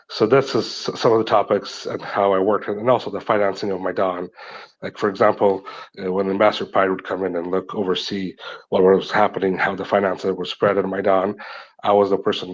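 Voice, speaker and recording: A low-voiced man from Ukraine who speaks English with a North American lilt, talking in a flat, level tone. He rambles through a long account with restarts and fillers. The sound is dull and lo-fi, like remote call audio. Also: slightly rough. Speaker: guest speaker. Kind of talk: casual spoken account in a live audio chat.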